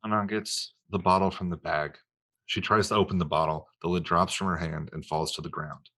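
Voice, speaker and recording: Very low-voiced man narrating a script. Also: fairly even intonation, brisk, sharp tone. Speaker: narrator reading stage directions.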